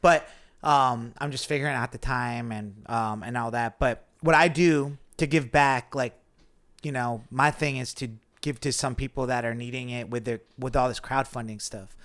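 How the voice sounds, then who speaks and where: A man with an American accent chatting casually as a podcast host, in a halting, rambling way. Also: medium pitch, fairly even intonation, a bit bright. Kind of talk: casual chat. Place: studio apartment.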